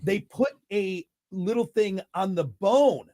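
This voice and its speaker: Young male co-host, boisterous and loud, pitch leaps around, dry close mic. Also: lively intonation, takes it slowly, no room sound. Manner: loud, boisterous, projects his voice. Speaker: morning show co-host. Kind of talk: casual morning radio banter.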